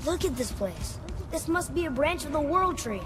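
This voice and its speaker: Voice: high-pitched, very animated, normal speed. An actor playing an eager, curious and talkative young boy in a video game. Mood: curious, chatty. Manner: talkative, inquisitive. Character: eager young boy, curious, chatty son of a stern warrior. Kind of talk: naturalistic video game voice acting.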